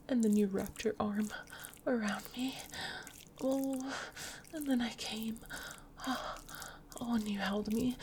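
A woman murmurs in a close, breathy whisper, drawn out and teasing. Her low voice is dark and mellow with a gravelly fry, and a faint hiss of noise sits behind it. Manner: teasing, possessive, slow and lingering. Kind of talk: whispered intimate audio roleplay.

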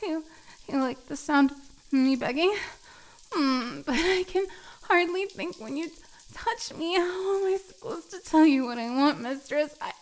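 A woman playing a submissive, needy cat girl in an intimate adult audio roleplay, halting and breathy as she pleads. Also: high-pitched, expressive. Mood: flustered, pleading, then needy, breathless.

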